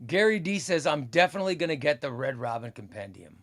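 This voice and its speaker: A man's voice: on the high side, big pitch swings, quick pace. A man in his fifties hosting a comics livestream, chatting casually as he reads viewer comments aloud, rambling and repeating his phrases. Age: 50s. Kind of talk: casual chat reading live comments.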